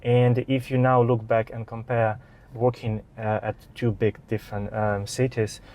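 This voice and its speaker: A man's voice: mid-range voice, level tone, a little slow, a little mellow, a little hiss or hum. A man hosting an interview, asking questions politely with some hesitant fillers. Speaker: host.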